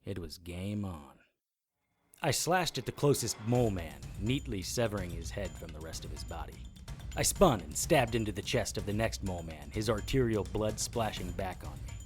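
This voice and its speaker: Man playing a low-voiced, hard-boiled monster hunter. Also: animated, normal speed, faint music behind, lightly acted. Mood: grim, intense. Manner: hard-boiled, matter-of-fact. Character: tough, defiant male private investigator, sword-fighting monster hunter. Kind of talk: first-person audio drama narration.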